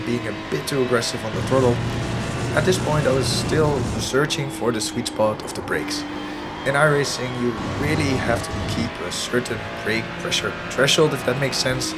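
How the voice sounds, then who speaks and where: Hoarse man narrating sim racing. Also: medium pitch. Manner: analytic, self-deprecating. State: hoarse, losing his voice. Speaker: sim racing video host. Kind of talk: commentary over gameplay footage. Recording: voice-over with music underneath. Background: background music.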